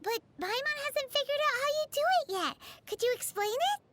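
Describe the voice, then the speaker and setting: A woman voicing a tiny, perky, excitable fairy companion in a video game, with a squeaky childlike voice and an exaggerated cute delivery. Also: moderate pace, no room sound. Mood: excited, curious. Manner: refers to self in third person, exaggerated cute delivery.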